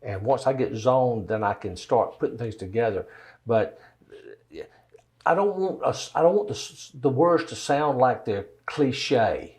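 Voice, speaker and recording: A man's voice: mid-range voice, expressive, unhurried, a little mellow. Southern American man, folksy storyteller. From Georgia, United States. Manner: folksy, rambling, anecdotal. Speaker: interview guest, songwriter and singer. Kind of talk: casual interview storytelling.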